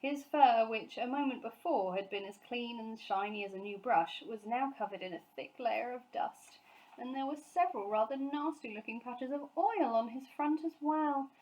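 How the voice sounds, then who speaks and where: A woman narrating a children's story in an expressive, scripted storytelling style. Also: on the high side, normal speed, lo-fi sound.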